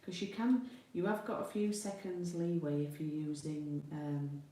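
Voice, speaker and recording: A woman's voice: deep voice, flat delivery, unhurried, warm tone. A woman with a Yorkshire accent giving a casual live craft tutorial, chatty and informal, thinking aloud as she works. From Yorkshire, England. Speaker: card-making demonstrator, host. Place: indoor room with a window. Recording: roomy, distant mic, faint noise, clicks.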